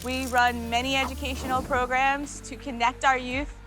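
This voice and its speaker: Lady educator being interviewed, really high voice, faint music. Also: flat delivery, moderate pace. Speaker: education and outreach officer. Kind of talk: interview explanation.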